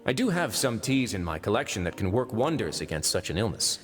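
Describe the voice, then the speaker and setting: A man voicing a calm, shrewd prison lord in an anime game, wry, unhurried and politely threatening. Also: fairly low pitch. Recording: long reverb, music underneath.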